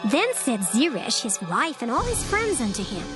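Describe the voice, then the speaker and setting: A woman narrates scripture in a slightly raised, measured, solemn voice. Clear background music plays under her steady reading throughout. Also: fairly high pitch.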